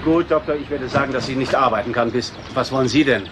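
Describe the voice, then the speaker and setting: An actor playing a guarded, curt servant answering the door in a radio play. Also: male voice, medium pitch, fast talker, over loud music, natural voice. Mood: wary. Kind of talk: German radio play acting.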